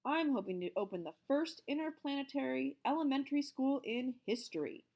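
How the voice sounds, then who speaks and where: A woman voicing an excitable male schoolteacher who stammers and squeaks when frightened. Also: brisk, gravelly, full character voice. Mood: cheerful.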